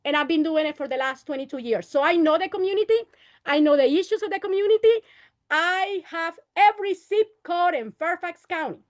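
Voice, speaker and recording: A woman's voice: very high-pitched, a little fast. A woman in her fifties running for city council, giving an impassioned campaign speech at a meeting, moving between Spanish and English and repeating her calls for unity. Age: 50s. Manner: impassioned, rallying, repeats phrases.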